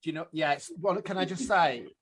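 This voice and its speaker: A man hosting a podcast, talking in an earnest, quick way and cutting in with his own thoughts. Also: on the high side. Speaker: podcast host. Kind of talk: podcast interview.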